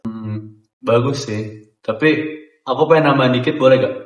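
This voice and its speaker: A man's voice: mid-range voice, moderate pace, natural voice. A young man acting a stubborn male student in an amateur school skit, chatting casually and dropping English words into his speech. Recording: roomy, dull, music underneath.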